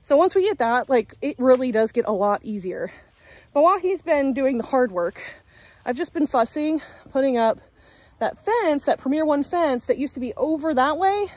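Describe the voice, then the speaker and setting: Chatty high-voiced woman vlogging outdoors. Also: lively intonation, normal speed, darkish tone, no room sound. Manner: chatty and upbeat, talking while doing yard work. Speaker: homestead vlogger. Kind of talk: casual vlog talk to a handheld camera. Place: outdoors in a backyard garden. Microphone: handheld phone at arm's length.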